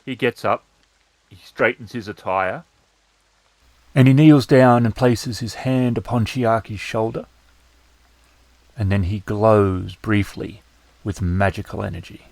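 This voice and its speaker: A man improvising the narration of a tabletop roleplaying game in measured, halting phrases. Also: on the low side, steady tone, darkish tone, dry recording.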